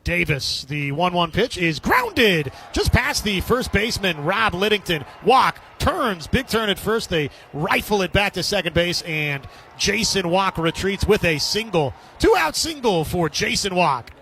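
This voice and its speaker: High-pitched male baseball play-by-play announcer. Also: sing-song, a little slow, vocal fry, sharp tone, a little hiss or hum. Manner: describes the action in short clipped phrases. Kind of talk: live sports commentary.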